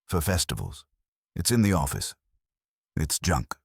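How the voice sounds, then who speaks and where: A man's voice: extremely low pitch, sing-song, a little slow, gravelly, breathy edge, no room sound, studio quality, full character voice. A man voicing a weary, sardonic young woman apprentice baker, curt and guarded, in an audiobook mystery. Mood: resigned, guarded.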